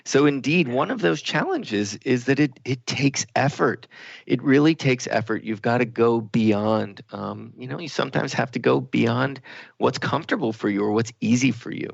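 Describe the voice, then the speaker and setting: A man with a heavily gravelly, creaky voice hosts a spiritual talk radio discussion. He speaks reflectively, often feeling for the next word. The sound is dry and somewhat dull, like lo-fi radio audio. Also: mid-range voice, moderate pace, dry recording. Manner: reflective, hesitant, searching for words. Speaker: radio show host.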